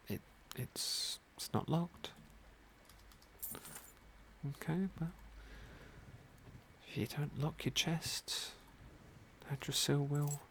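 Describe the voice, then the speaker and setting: A British man narrating an ASMR game playthrough in a gentle, polite, understated manner. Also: on the high side, a little hiss or hum. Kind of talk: whispered ASMR roleplay and commentary.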